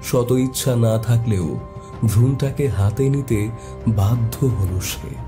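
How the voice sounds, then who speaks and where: A man narrating a scripted horror story in a suspenseful, deliberate, dramatic way. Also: mid-range voice, over music.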